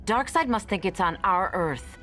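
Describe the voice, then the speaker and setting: A woman's voice: mid-range voice, lively intonation, normal speed, close to an everyday voice. A woman playing a noble, confident warrior superheroine in a video game, composed and authoritative. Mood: earnest. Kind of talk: animated video game cutscene acting. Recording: loud music behind the voice.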